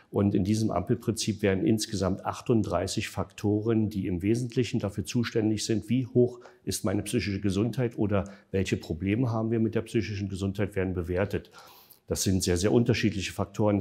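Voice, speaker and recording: Man, low voice, dark and husky, measured factual explaining, interview guest, frequent fillers. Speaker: interview guest, developer of an online tool.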